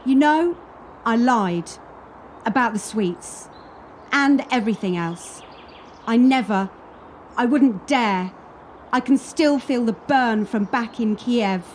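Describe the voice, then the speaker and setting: An actress plays a wounded young female gymnast in a radio play. She speaks slowly with an expressive, higher voice, wistful and self-mocking, over faint noise. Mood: wistful, pained.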